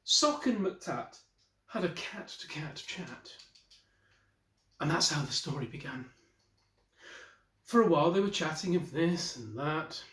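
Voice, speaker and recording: A man in his forties reads a children's picture book aloud. His voice sits a little high for a man, and he tells the tale expressively. He is in a small room with plenty of echo around the voice. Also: unhurried, pauses often. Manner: expressive storyteller with brief asides to listeners. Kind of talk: storybook read-aloud. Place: small wallpapered room indoors. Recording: roomy, echoey indoor recording.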